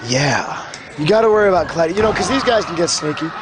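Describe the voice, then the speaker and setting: A young man, a wrestler, doing color commentary at a wrestling show, hoarse from screaming and joking around. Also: a little high, fast. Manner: joking, excitable, rambling. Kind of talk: live play-by-play commentary. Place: wrestling arena commentary desk. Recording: loud arena crowd and noise.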